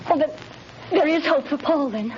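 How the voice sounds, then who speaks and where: A woman's voice: sits high, moderate pace, a little mellow, close to an everyday voice. An actress playing an anxious young mother who speaks pleadingly in a 1930s radio drama. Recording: hissy, muffled 1930s radio recording.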